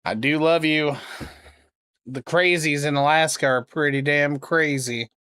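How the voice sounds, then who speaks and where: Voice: medium pitch, a little slow, fairly bright tone, clean tone. A prank caller and streamer chatting casually, with a sarcastic, crude, rambling way of talking. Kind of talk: casual livestream chat and prank calling.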